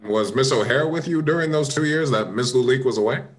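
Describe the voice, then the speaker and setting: A man playing a composed, probing American police inspector in an amateur radio mystery, questioning politely in measured phrases. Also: mid-range voice, natural voice.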